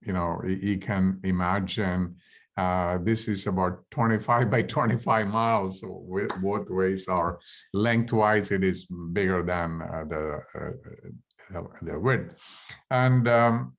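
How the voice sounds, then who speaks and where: Low-voiced man presenting with many pauses. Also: fairly even intonation. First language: Tamil. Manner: halting, many "uh" fillers and restarts. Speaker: study abroad program presenter. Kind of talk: informational presentation to prospective students.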